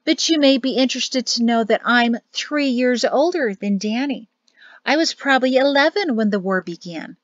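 A feisty old lady, put on by a woman narrator speaks in a raised, high pitch, excitable and demanding. It is audiobook dialogue with slightly dull sound. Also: moderate pace, no room sound, lightly acted. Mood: demanding, proud. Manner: demanding, shrill when alarmed.

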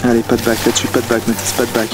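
A young man commenting on friends' ski competition runs outdoors on a mountain, animated and teasing, recorded on an action camera. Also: mid-range voice, rapid-fire, low-quality recording. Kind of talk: excited live commentary. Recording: music playing underneath.

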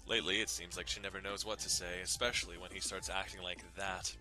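Low-pitched man narrating, very bright forceful tone. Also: flat delivery, quick pace, soft background music. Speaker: narrator doing dramatic readings. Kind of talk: dramatic narration of a visual novel.

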